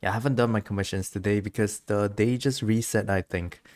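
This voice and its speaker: Low-pitched streamer, giggly and rambling. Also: steady tone, fairly quick, darkish tone, no room sound, clean studio sound. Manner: giggly, rambling, reads game text aloud. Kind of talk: casual gameplay commentary, chatting to viewers.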